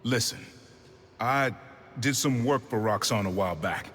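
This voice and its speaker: An actor playing a gruff, protective middle-aged uncle with a terse, streetwise way of talking, in a dramatic video game scene. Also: male voice, on the low side, a little slow, fairly bright tone. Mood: serious, guarded. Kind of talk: dramatic game cutscene acting. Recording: reverberant space, faint noise.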